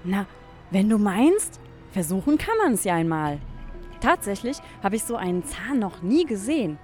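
Woman playing a lively, curious young museum companion. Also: mid-range voice, moderate pace, soft background music, natural voice. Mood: sceptical, game. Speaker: actress in an educational audio drama. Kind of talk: youth radio play acting.

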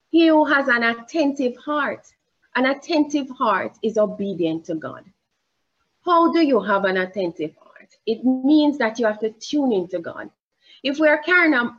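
A woman with a Jamaican accent preaching a sermon in church, deliberate and emphatic, rising on her questions and pausing with fillers as she searches for words. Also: a little high, slightly rough, dull audio.

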